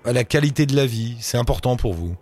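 A man's voice: medium pitch, expressive, fast talker, darkish tone. A man hosting a radio show, chatting in a friendly way and asking callers questions. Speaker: radio host. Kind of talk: casual radio interview.

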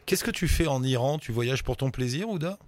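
A man's voice: medium pitch, animated, fairly quick. A man hosting a radio show from a studio, asking callers friendly and curious questions. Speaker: radio host. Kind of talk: call-in radio interview.

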